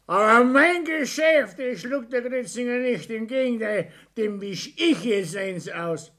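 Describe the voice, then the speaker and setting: An actor playing a bullying, self-pitying older husband in a 1960s German radio play, grumbling and scolding with a Bavarian accent. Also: male voice, very high voice, lively intonation, unhurried, pushed and bright, full character voice. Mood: boastful, sentimental.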